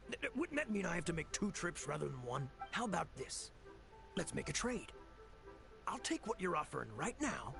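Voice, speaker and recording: Gravelly, haggling game trader. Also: male voice, a little high, a bit bright, exaggerated voice. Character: grudging, haggling male trader, a father. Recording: game music behind the voice.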